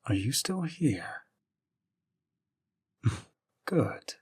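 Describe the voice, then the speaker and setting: A man speaks in a low, very breathy and husky voice, taking his time. He delivers an intimate, hushed promo as a voice actor. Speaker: voice actor promoting custom audio commissions.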